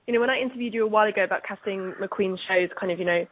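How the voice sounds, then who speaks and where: A woman's voice: mid-range voice, very fast, husky. A woman interviewer asking chatty, roundabout questions in a conversational interview over a phone line. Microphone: telephone call. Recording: tinny, band-limited phone line.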